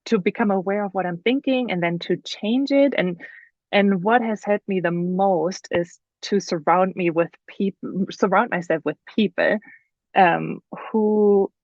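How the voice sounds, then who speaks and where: A woman's voice: on the low side, darkish tone, no echo, dull audio. A woman with a German accent, a guest author telling her story in a casual podcast interview, earnest and thoughtful with many fillers.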